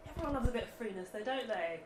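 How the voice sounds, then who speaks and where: A woman's voice: a little high, animated, racing, crowd noise. A woman interviewer asking questions in light, chatty style. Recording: echoey, distant from mic, faint noise.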